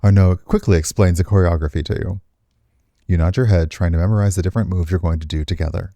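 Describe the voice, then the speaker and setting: A man's voice: low voice, fairly even intonation, fast talker, warm tone, dry recording. A man reading visual novel text aloud expressively as a game streamer, slipping into casual commentary.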